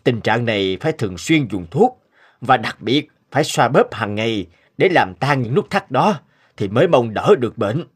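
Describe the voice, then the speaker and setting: A man's voice: mid-range voice, very animated, normal speed, breathy edge. A man voicing a serious, instructive middle-aged doctor in a cartoon dub. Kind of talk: cartoon dubbing.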